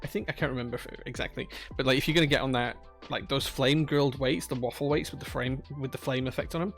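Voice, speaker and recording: A man's voice: medium pitch, steady tone, quick pace, a bit bright, faint music behind. A man with a Yorkshire accent chatting casually on a live stream, reading out viewer messages with a jokey, rambling manner. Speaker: streamer building keyboards.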